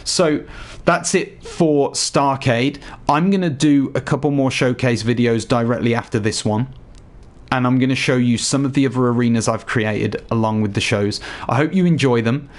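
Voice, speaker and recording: A man with a slightly hoarse voice chatting casually to viewers while walking them through a game showcase. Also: mid-range voice, normal speed. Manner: chatty, addresses viewers directly. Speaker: gaming YouTuber. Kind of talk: casual game tutorial voice-over.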